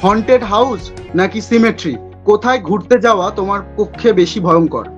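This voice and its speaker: A man hosts a playful quick-fire interview. His voice sits high for a man, and music plays behind him as he chats and puts his questions. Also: highs cut off.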